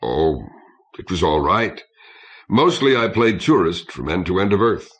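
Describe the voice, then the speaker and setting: A man's voice: low voice, big pitch swings, a little slow, fairly bright tone, heavily acted. A man voicing a reserved starship captain in his fifties for an audiobook, speaking formally and courteously.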